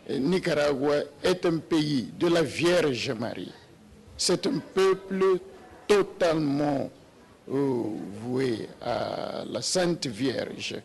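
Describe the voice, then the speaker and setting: A man in his sixties from Nigeria, a papal nuncio, answering interview questions slowly with many hesitations and restarts. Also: fairly high pitch, animated, vocal fry. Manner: slow and hesitant with many "euh" fillers and restarts. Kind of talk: slow, halting interview answers.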